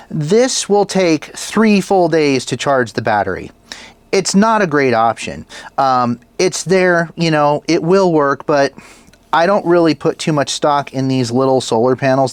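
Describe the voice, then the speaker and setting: A man's voice: medium pitch, dry recording. A man giving a casual gear review in a plain-spoken, folksy way, as the host talking to viewers. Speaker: product reviewer and channel host.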